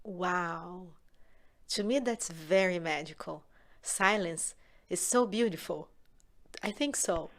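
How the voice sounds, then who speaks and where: Brazilian woman teaching kids music gently. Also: lowish voice, slow pace, long pauses, a little hiss or hum. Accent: Brazilian Portuguese. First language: Portuguese. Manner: gentle, encouraging, often drops to a soft whisper. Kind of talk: children's music lesson.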